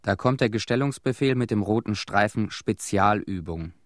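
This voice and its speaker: A low-pitched male actor's portrayal of an embittered, ailing young veteran. He gives sombre radio-play testimony in short, terse sentences, recorded close and dry. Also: normal speed, no echo, natural voice. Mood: grim, matter-of-fact.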